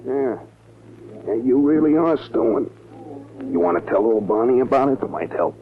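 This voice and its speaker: An actor playing a friendly, nosy bartender who talks in folksy slang in a 1940s radio drama. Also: male voice, medium pitch, expressive, moderate pace, a crowd in the background, natural voice. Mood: friendly, curious. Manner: slangy, colloquial. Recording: tinny 1940s radio, loud music behind.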